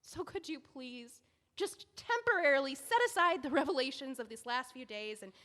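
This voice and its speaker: A woman plays an earnest young editor in an audio drama, her high voice swinging all over as she pleads and rushes from one thought to the next. A long, reverberant room tail hangs behind every line. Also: lively intonation, fairly bright tone. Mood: firm. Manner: earnest, rushing, emotional pleading.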